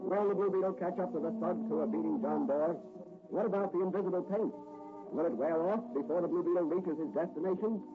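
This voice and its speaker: An actor playing a dramatic, urgent announcer narrating a 1940s radio serial. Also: male voice, high-pitched, fast talker, lightly acted. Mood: suspenseful. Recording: muffled old radio recording. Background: loud dramatic music.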